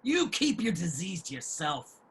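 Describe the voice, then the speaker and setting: A man's voice: sits high, very animated, a little slow, husky, exaggerated voice. A man playing a combative, jealous homeless man who taunts in street vernacular in a dramatic play reading. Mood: scornful, heated.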